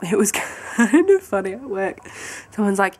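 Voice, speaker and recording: A young Australian woman in her twenties with a husky voice from a cold. She chats casually to a handheld camera at home and rambles through her plans. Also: medium pitch, big pitch swings, normal speed, darkish tone. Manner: chatty and rambling, with light laughs. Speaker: vlogger. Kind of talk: casual vlog chat to camera. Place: at home, indoors, by a window. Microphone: handheld camera close to the face.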